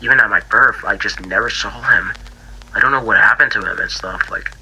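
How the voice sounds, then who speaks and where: A man voicing a bitter, grieving young pig character in a halting, stammering interview for an analog horror fan drama. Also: on the low side, bright, forceful tone, slight background noise, low-quality recording. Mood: wistful, sad. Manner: halting, stammering, repeats himself, trailing off. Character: grieving, bitter young male cartoon pig, infection survivor.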